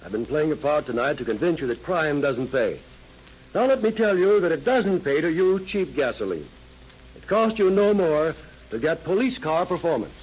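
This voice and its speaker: A man's voice: fairly high pitch, very animated, normal speed. A man giving an earnest, folksy scripted testimonial as an actor in a 1930s radio advertisement. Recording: dull old radio recording, faint noise.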